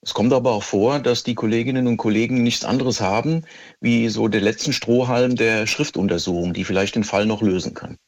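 A middle-aged man answering interview questions as a police expert, explaining in a methodical, precise and measured way. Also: on the low side.

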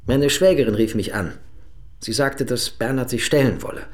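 A man's voice: medium pitch, moderate pace, lightly acted. An actor playing a touchy male professor under questioning in a radio drama, pompous and guarded.

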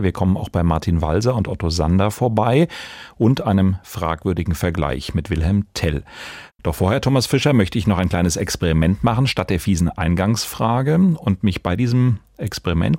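A man's voice: low voice, darkish tone, no echo. A man hosting a podcast in a conversational, wry way with formal address, recorded in a studio. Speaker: podcast host. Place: radio studio.

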